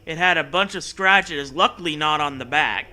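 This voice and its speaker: A man's voice: a little high, normal speed, sharp tone. A man hosting a comedy show, reading a story aloud mockingly with sarcastic, profane, theatrical asides.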